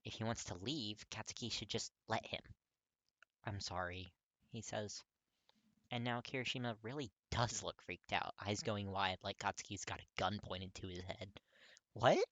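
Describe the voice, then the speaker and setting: A narrator reading fiction aloud in an expressive, scripted storytelling style. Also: low voice, moderate pace, no echo.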